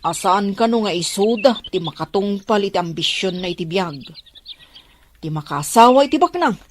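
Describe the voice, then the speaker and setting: A woman's voice: medium pitch, lively intonation, moderate pace, slight background noise, lightly acted. A woman playing a frank, concerned friend in an audio drama, chatty and reproachful. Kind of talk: Ilocano audio drama dialogue.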